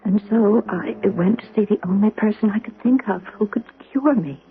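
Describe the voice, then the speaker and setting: An actress playing a naive, warm-hearted young artists' model in an earnest, emotive 1970s radio drama style. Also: female voice, medium pitch, moderate pace, lightly acted. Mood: grieving, wistful. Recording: muffled, husky-sounding old radio recording.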